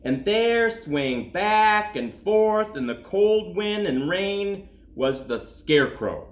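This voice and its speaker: A man, a school principal, reading a children's story aloud expressively, with dramatic pauses and drawn-out words. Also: high voice, very animated. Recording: roomy echo.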